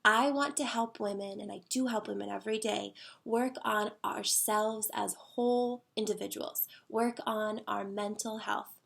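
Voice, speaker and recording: Woman, thirties, wellness coach, earnest casual talk, slightly stuffy nose, gravelly edge. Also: medium pitch, steady tone, unhurried, few pauses, fairly bright tone. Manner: earnest, conversational, with fillers. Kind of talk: casual monologue.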